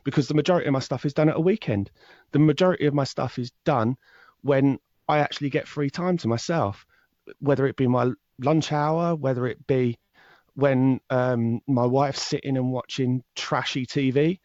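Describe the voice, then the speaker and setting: A man talks through his work as an interview guest in a loose, long-winded way, often repeating a word before pressing on. His voice sits at a middling pitch and comes across a little dull and muffled. The room adds no echo at all. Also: moderate pace, darkish tone. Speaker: podcast guest, true crime podcaster. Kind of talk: casual interview answer.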